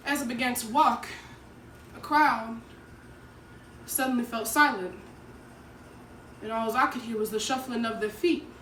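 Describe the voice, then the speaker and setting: A woman's voice: a little high, steady tone, unhurried, pauses often, a bit bright. A woman playing an earnest, nervous teenage schoolgirl who tells her story in an emotive dramatic monologue. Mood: earnest, uneasy. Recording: echoey room, distant mic, faint noise.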